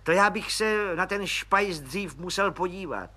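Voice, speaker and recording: A man's voice: high voice, sharp tone, voice pushed up. A man voicing an eager, overfamiliar little red-haired police agent who talks in a slangy, chatty way. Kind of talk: audiobook character voice.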